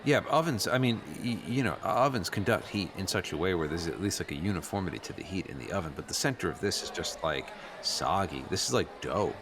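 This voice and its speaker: A man plays an earnest teenage boy who is new at school, in an improvised radio play. His voice is very low and gravelly, and he talks haltingly in casual slang over clearly audible background noise. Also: expressive. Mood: incredulous. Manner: halting, filler-heavy, earnest teen slang.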